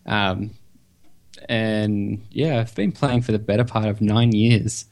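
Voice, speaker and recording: A man's voice: fairly low pitch, slow pace, a little mellow. Australian man chatting as a podcast guest. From Perth, Western Australia. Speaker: podcast guest, website developer. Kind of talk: casual interview chat.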